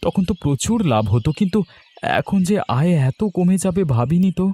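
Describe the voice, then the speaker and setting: A man's voice: sits high, animated, a little fast, mellow. A man voicing a worried, weary village husband and shrimp farmer in a fairy-tale cartoon. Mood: weary, resigned.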